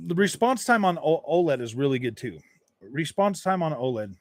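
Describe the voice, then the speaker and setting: A man in a casual gaming live stream, talking in a fairly high voice and wandering through his thoughts with lots of restarts. The sound is dry and close, with no room around it. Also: big pitch swings, normal speed, dry recording. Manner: rambling, repeats and restarts phrases, laid-back.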